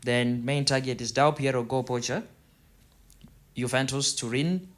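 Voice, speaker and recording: A man's voice: medium pitch, steady tone, unhurried. A man from Zimbabwe with a Zimbabwean accent gives a casual gaming tutorial, rambling a little and repeating words as he goes. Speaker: gaming video host. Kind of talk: casual tutorial commentary over football game screen.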